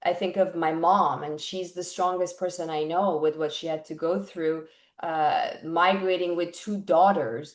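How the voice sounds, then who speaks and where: A woman from Iran, an actress guest, speaking candidly and personally in an interview. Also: lowish voice. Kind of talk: casual interview. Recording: echoey room.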